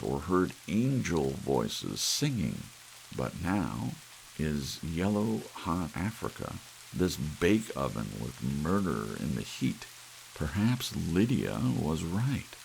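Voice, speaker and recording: A man narrating an audiobook story in an ASMR reading style, with soft rain behind him. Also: very deep voice, vocal fry, a little hiss or hum, low-quality recording.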